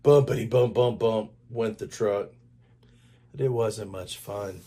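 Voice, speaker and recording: An older man reading a children's story aloud in a deliberate, expressive storytelling manner. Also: fairly low pitch, slightly rough. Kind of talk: scripted story narration read aloud to children.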